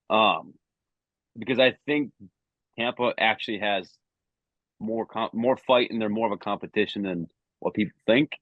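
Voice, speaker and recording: A man's voice: lowish voice, no room sound. A man co-hosting a casual sports podcast, giving confident, emphatic picks. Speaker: podcast co-host. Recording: tinny phone-like remote line.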